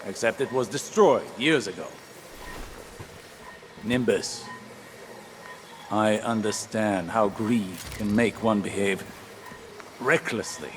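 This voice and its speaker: An actor playing a wise, stern old warlock scholar in a video game, speaking in a measured, formal, grave way. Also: male voice, lowish voice. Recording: echoey game audio with faint noise.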